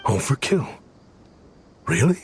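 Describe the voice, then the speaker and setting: A man's voice: medium pitch, big pitch swings, slow pace, a little hiss or hum. An actor voicing an earnest young exiled researcher obsessed with his craft, in a dramatic video game performance. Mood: eager, earnest. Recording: studio voice over prominent music. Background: music.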